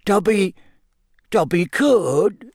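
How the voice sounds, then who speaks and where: A man voicing a small, earnest male house elf with a squeaky voice, deferential and halting in broken grammar, for a storybook. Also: animated, vocal fry, dry recording, clean studio sound, heavily acted. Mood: fearful, hesitant.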